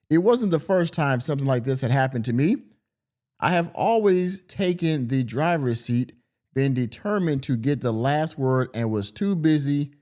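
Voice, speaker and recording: A man's voice: medium pitch, a little mellow. A man, hosting a podcast, who reads an article aloud and breaks in with drawling, sarcastic commentary. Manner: drawling, sarcastic, stretches words for emphasis. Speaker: podcast host.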